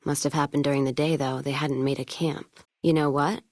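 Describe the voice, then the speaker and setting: Tough boozy wasteland caravan gal for a video game, deep for a woman, wry n blunt, totally dry sound. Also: level tone, a little fast, darkish tone, no room sound. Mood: somber, subdued. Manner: blunt, wry, folksy drawling turns of phrase. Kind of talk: video game voice acting, scripted dialogue.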